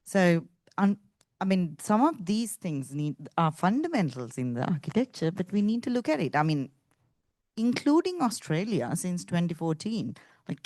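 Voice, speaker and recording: A woman's voice: lowish voice, mellow, no room sound, clean studio sound. A woman speaking English as a second language, earnestly making her point on a discussion panel. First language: not English. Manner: earnest, thinking aloud with restarts.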